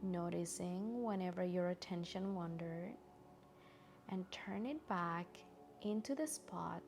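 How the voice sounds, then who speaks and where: A woman guiding a meditation in a gentle, soothing, soft-spoken way with long pauses. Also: on the low side, vocal fry, slight background noise. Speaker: meditation guide. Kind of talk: guided meditation narration.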